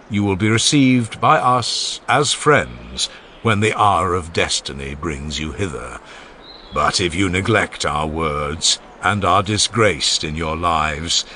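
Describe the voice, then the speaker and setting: A man's voice: low voice, pushed and bright, a little hiss or hum. A man reading a classical funeral oration aloud in a solemn, declamatory way, his long phrases rising and falling. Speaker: audiobook narrator. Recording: faint background ambience and music under the voice.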